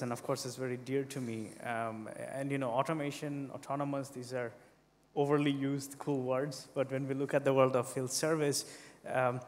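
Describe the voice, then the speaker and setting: A young man answers as a panelist in a semi-formal conference talk. His mid-pitched voice carries the long reverb of a large hall. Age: young adult.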